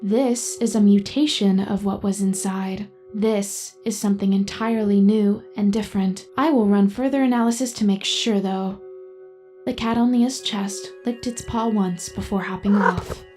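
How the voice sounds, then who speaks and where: A composed female AI companion, played by a woman, narrates an audio drama. The voice is soft-edged and mellow, with music playing behind it. Every sentence is formal, precise and measured, as if delivering an analysis. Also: mid-range voice, steady tone, lightly acted. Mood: calm, analytical. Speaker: narrator and in-character host.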